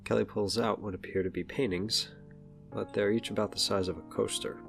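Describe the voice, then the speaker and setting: Male narrator for an audio drama: deep storytelling voice with vocal fry. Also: steady tone, brisk, soft background music. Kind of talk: scripted present-tense story narration.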